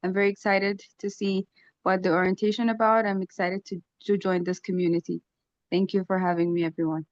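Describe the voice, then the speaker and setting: A woman from Ethiopia introducing herself as a club member, brisk and excited to join. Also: medium pitch, no echo, highs cut off.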